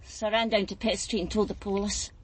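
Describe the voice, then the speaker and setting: A woman's voice: mid-range voice, little pitch movement, fairly quick, a little hiss or hum, lightly acted, poor audio. An actress playing a weary, shaken Glasgow streetwalker and mother in a radio drama, chatty and blunt, with a Glaswegian accent. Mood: chatty, uneasy. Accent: Glaswegian Scottish. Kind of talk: naturalistic radio drama acting.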